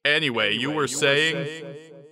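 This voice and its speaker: A man voicing a giant, hot-tempered female pegasus pony in a gruff, blunt manner. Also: fairly high pitch, slow, a crowd in the background, voice pushed up. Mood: blunt, self-deprecating.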